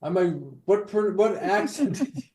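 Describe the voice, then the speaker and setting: A man with a high but mellow voice chats casually as a volunteer in a sketch, halting and repeating himself. He sounds far from the mic on an online call. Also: expressive, roomy sound. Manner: halting, repetitive. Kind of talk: casual, flustered chat.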